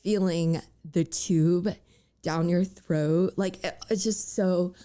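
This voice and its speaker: A young woman talks in a candid, halting way, full of fillers and little restarts. Her voice is raspy and cracks often. It sits low for a woman and has a mellow, soft color. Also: fairly even intonation. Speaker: guest. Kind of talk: candid personal interview.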